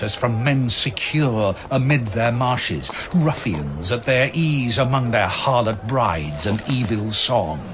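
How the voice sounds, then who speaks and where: Slow, solemn male verse narrator. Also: on the low side, background noise. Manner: solemn, measured, literary. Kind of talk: scripted dramatic narration of archaic verse.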